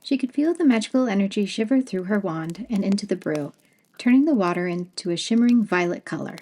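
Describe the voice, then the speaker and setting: A woman narrating a fantasy storybook at an easy, gentle pace. Her voice sits in an ordinary middle pitch. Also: animated, brisk. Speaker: audiobook narrator.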